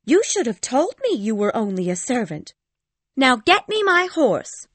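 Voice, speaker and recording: A woman acts out a spirited young girl from a storybook. Her voice is high and swings expressively, with an imperious, indignant edge, in a dry, close recording. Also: big pitch swings, a little slow, no room sound. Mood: haughty, indignant. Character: spirited, haughty young girl of a gentleman's family. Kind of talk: dramatised audiobook dialogue.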